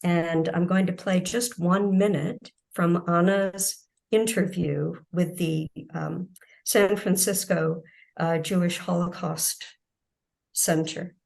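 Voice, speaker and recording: Woman giving a measured academic keynote. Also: fairly low pitch, steady tone, creaky, darkish tone. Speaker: keynote speaker. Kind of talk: academic lecture.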